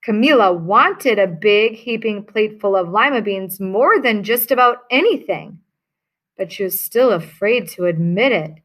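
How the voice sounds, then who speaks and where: A woman reading a children's picture book aloud in a lively, expressive way. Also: mid-range voice, unhurried. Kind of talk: read-aloud storybook narration.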